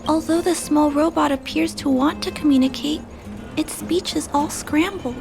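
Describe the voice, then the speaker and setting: A woman playing a gentle, compassionate little girl in a video game, hesitant and soft-hearted as she speaks. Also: sits high, moderate pace, creaky. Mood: curious, gentle. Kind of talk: video game character acting. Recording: music under the voice.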